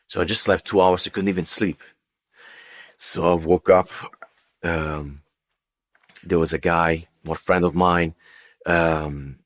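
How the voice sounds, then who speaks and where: Low voiced guy, telling his own story, kinda halting, repeats himself for emphasis. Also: fairly bright tone. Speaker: guest giving a personal testimony. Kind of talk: conversational personal storytelling.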